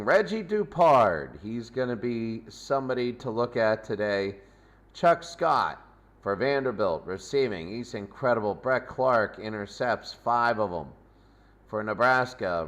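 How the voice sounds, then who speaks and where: Man hosting a loose sports pregame show. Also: medium pitch. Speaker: sports host.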